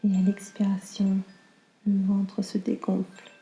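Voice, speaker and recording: A woman guiding a slow relaxation meditation in a calm, soothing, soft and breathy voice that often nears a whisper. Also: medium pitch, echoey room, faint music behind. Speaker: yoga nidra guide.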